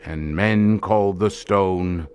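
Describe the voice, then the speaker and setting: Slow, grave desert tribesman storyteller. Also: low-pitched, slight background noise. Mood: grave, foreboding. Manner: archaic, portentous, oratorical. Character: grave desert tribesman elder, solemnly warning of an ancient curse. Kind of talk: theatrical audio drama storytelling.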